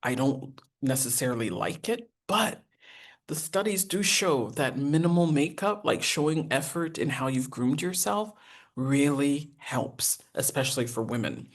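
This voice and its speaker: A woman, teaching a professional etiquette masterclass in an earnest, encouraging way with deliberate enunciation. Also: expressive. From United States. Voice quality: deep voice. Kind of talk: masterclass lecture.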